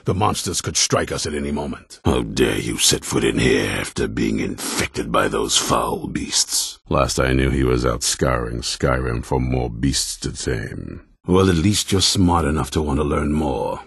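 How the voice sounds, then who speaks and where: An extremely deep, husky voice for a video game's old vampire hunter. He is a grim, ruthless commander, stern and blunt. His delivery swings widely in pitch and has a heavy rasp. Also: animated, a little slow, few pauses, fairly bright tone, no echo, clean studio sound, full character voice. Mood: grim, bitter. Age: older. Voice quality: very deep, gravelly, raspy. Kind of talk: video game character dialogue.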